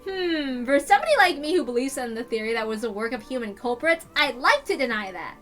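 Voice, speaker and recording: A woman's voice: high-pitched, expressive, a little fast, a bit bright, soft background music. A woman voicing a brash, skeptical, teasing teenage girl in a visual novel.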